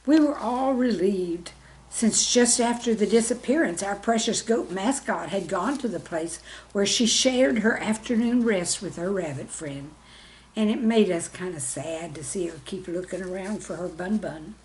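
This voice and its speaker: A woman's voice: mid-range voice, unhurried, darkish tone, slight background noise, rough recording. A woman reading a children's story in a simple, friendly way, as if speaking directly to young listeners. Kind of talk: gentle scripted storytelling for children.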